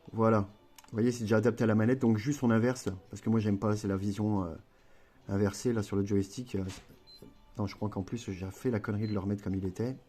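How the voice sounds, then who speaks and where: Man, gaming tutorial creator. Low, warm voice, loose and rambling delivery with fillers. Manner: chatty and rambling, with many fillers and self-corrections. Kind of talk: casual gameplay tutorial.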